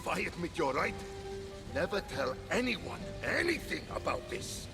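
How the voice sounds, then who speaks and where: A man's voice: fairly high pitch, very animated, normal speed, vocal fry. A man playing a vain, blustering, touchy war god in a video game, theatrical and easily flustered. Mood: grudging, conspiratorial. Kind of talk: video game cutscene voice acting. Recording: music behind the voice.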